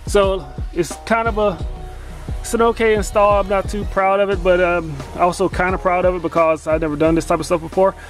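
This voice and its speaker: A man's voice: high voice, little pitch movement, brisk, faint music behind. Rambling man filming a truck install. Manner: rambling, self-correcting, filler-heavy. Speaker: DIY vlogger installing a CB radio. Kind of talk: casual how-to narration while working. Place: inside a pickup truck cab and garage.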